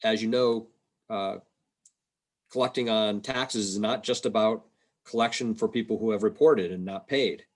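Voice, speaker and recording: Low-voiced man presenting, a bit halting. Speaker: state revenue agency policy presenter. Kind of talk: informal slide presentation on a conference call. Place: indoors, on a video call. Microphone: video call microphone.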